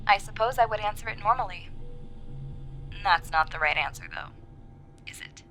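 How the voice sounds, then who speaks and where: A woman's voice: medium pitch, moderate pace, pushed and bright, faint music behind, close to an everyday voice. An actress playing a guarded, wounded journalist in her twenties in an audio drama. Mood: defensive. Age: 20s.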